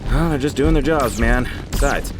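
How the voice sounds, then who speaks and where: A man's voice: mid-range voice, normal speed, natural voice, poor audio. A man playing a roguish, flippant space thief with glib, mock-courteous theatrical charm in an audio drama. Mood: flippant, breezy. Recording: loud music bed under dialogue.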